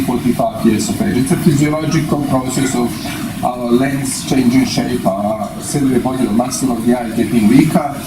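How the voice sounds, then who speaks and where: A man in his fifties giving an explanatory lecture in a deliberate, step-by-step way, presenting from a lectern. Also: a little high. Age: 50s. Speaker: presenter, eye surgery specialist. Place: small indoor meeting room at a lectern. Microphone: distant camera microphone. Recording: echoey, noisy room, distant microphone.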